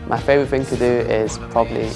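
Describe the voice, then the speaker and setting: Nervous young man with a gravelly voice. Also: mid-range voice, flat delivery, fast. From London. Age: 20s. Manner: nervous, giggly, fumbles. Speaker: contestant. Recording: interview with music beneath.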